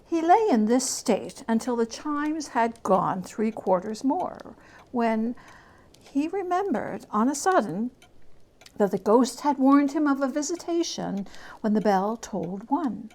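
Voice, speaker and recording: A woman giving a dramatic reading of a classic story, theatrical, lingering on words and dropping into hushed tones. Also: on the high side, expressive, a little slow. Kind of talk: dramatic reading of literary narration.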